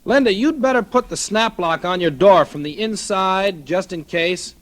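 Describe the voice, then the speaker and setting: An actor with an American accent playing a casual, calculating, scheming husband in a 1940s radio thriller. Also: male voice, sits high, normal speed, lightly acted. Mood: falsely solicitous. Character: smooth-talking, scheming husband plotting murder. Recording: noisy old radio recording.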